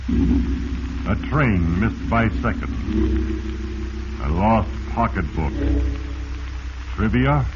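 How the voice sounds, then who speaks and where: An actor playing Fate as a solemn, all-knowing, portentous narrator in a 1940s radio drama. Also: male voice, lowish voice. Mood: knowing, grim. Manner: ominous, portentous, theatrical. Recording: muffled, hissy 1940s radio recording.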